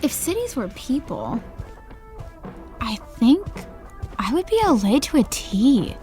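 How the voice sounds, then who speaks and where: A woman's voice: high-pitched, very animated, slow pace, mellow, soft background music, full character voice. An actress playing a bubbly, shallow young woman who talks in valley-girl chatter. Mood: chatty.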